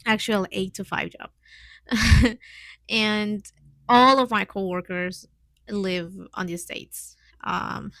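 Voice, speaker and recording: Casting: woman, mid 20s, Mexican accent, native Spanish speaker. Informal podcast host, hesitant and giggly phrasing, medium pitch, dry sound. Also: fairly bright tone, no room sound. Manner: hesitant learner's English with frequent little giggles. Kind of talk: casual solo podcast talk.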